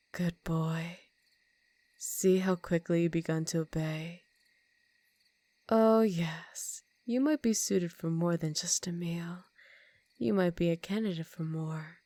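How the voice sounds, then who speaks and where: Woman playing a vampire countess for ASMR roleplay: lower-pitched, predatory, dominant, teasing, slipping into whispers, very dry close sound. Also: darkish tone, no room sound. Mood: teasing, commanding. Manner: slow teasing, dominant purr, often dropping into whispers. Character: seductive, commanding female vampire countess, ancient and predatory.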